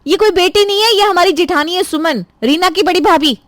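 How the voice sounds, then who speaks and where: A woman's voice: very high-pitched, fairly quick, a bit bright, dry recording, studio quality, voice pushed up. A woman voicing a meddling, dramatic young married sister-in-law in a Hindi cartoon story. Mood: protesting.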